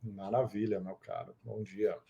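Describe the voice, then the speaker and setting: A man's voice: lowish voice, level tone, measured pace, gravelly, mellow, highs cut off. A man hosting an interview podcast, reading a fluent opening and then chatting with his guest. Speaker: host.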